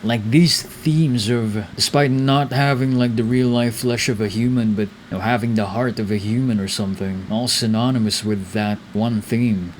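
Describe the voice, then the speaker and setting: A man's voice: mid-range voice, fairly even intonation, normal speed, few pauses, slight background noise. A man giving casual, informal reaction commentary on a game story, musing and repeating himself thoughtfully as he goes.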